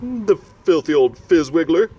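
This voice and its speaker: Man playing a gentle giant, tender-hearted, jumbled grammar, made-up words, raised pitch, background hiss. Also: normal speed, dull audio. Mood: indignant. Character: huge kindly old male giant, tender-hearted. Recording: steady background noise.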